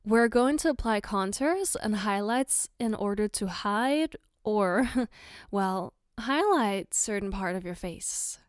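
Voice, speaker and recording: A woman playing a caring, playful girlfriend in an ASMR roleplay, gently reassuring and patiently explaining as she gives soft personal attention. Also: mid-range voice, expressive, takes it slowly, clear, resonant tone, no room sound, clean studio sound. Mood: gentle, reassuring. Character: caring, playful girlfriend doing her partner's makeup.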